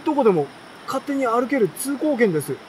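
A man's voice: extremely high pitch, fairly quick, far from the mic, voice pushed up, low-quality recording. A man voicing an astonished, folksy, gushing birdcatcher from a storybook. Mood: amazed, gushing. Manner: gushing, repetitive exclamations, rustic wording. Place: outdoors at a campsite by a fire. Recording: steady outdoor campfire, insect and stream noise.

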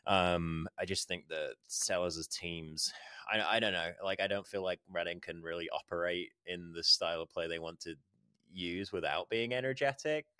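Man, very deep voice, dry close sound, podcast co-host, rambling and hedging. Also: moderate pace, bright, forceful tone, smooth, dry recording. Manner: loose, rambling, hedging with "like" and "I think". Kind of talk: casual football podcast chat.

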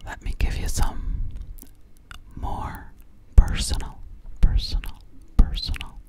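A woman whispering breathily and soothingly, close to the microphone, repeating words in an ASMR personal attention roleplay as a sweet, slightly sassy alien girl. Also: warm tone. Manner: slow, repetitive, soothing, close to the mic. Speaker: ASMR creator playing a character. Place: small dim room, indoors. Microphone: microphone right at the mouth.

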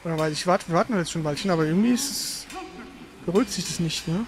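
High-pitched man chatting while gaming. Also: fast talker, a crowd in the background, poor audio. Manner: informal, thinking aloud. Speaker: video game streamer. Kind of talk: casual live gameplay commentary. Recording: faint background noise.

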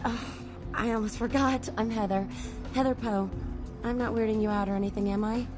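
A woman's voice: fairly high pitch, steady tone, brisk, over music, natural voice. A woman playing an eager, clingy young devotee in a video game, nervous and earnest as she pleads.

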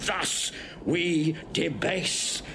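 High-pitched, scornful Roman warrior nobleman. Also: very slow, vocal fry, sharp tone, full character voice. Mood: scornful, impassioned. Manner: declamatory, contemptuous. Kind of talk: classical Shakespearean stage acting. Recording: restored old recording, audible background noise.

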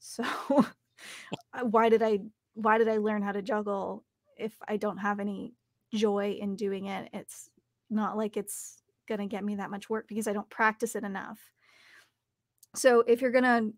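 A young adult woman speaking thoughtfully and haltingly as she answers interview questions. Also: medium pitch, creaky, no echo, studio quality. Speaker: actor and audiobook narrator, guest. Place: home recording studio, video call.

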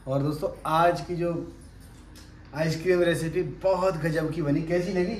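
A man's voice: a little high, steady tone, measured pace. Guy in his 30s doing a chatty kitchen cooking vlog, echoey tiled room, bit of hiss. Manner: chatty, addresses viewers as friends. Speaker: cooking vlogger. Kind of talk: casual home cooking demo. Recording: roomy tiled kitchen, faint background noise.

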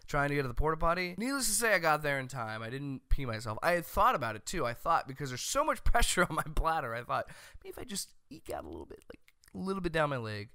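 American man, comedic sports host, lively pitch swings, crisp clear voice, loud and opinionated. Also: fast talker, dry recording, clean studio sound. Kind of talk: comedic sports commentary to camera. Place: small home room, indoors. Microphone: close desk microphone on boom arm.

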